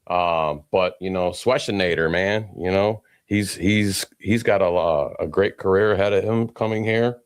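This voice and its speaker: A man with a very deep voice and a stuffy nose co-hosts a casual sports show, listing stats in a laid-back way. His voice is close and dry, with little room sound around him. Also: a little slow, hardly any pauses, clear, resonant tone, no room sound. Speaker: co-host. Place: podcast studio.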